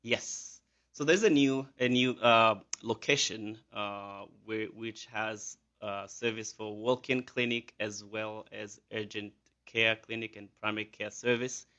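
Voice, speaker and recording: Rwandan guy doctor on the radio, bright tense voice, lots of uh and restarts. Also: fairly low pitch, steady tone, measured pace, lo-fi sound. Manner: hesitant, many fillers and restarts. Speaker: physician guest. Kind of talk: radio interview.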